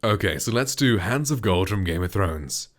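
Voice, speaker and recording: Deep-voiced man, chatty studio mic review. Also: normal speed. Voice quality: deep, dark voice. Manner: relaxed and chatty, lots of loose filler words. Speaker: voice actor and streamer reviewing a microphone. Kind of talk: casual gear review with voice demos. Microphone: close studio condenser microphone.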